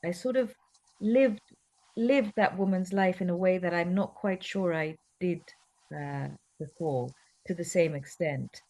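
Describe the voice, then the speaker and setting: Thoughtful low-voiced woman, Greek native. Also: measured pace, dark tone. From Cyprus. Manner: thoughtful, hesitant, many fillers and restarts. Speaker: guest actress. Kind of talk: conversational interview.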